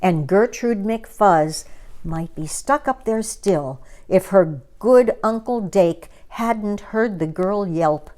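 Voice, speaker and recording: Woman storyteller, takes her time, dramatic kids story reading, medium pitch. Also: warm tone. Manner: dramatic, deliberate. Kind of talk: expressive children's story reading.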